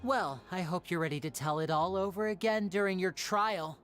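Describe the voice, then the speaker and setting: A voice actor playing a calm, poised young magician in a game. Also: male voice, high-pitched, soft background music. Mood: composed. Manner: measured, composed.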